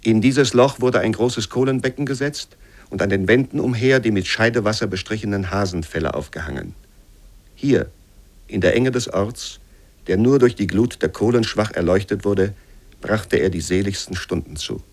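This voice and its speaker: Male narrator for literary radio drama, lower register, measured and formal delivery. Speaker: narrator reading literary prose aloud.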